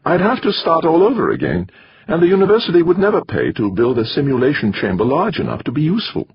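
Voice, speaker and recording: A man's voice: a little high, huge pitch swings, fast talker, breathy edge, dry recording, dull audio, pitched above the performer's own voice, heavily acted. A man voicing a gloomy, whiny middle-aged male biologist with a Hungarian accent.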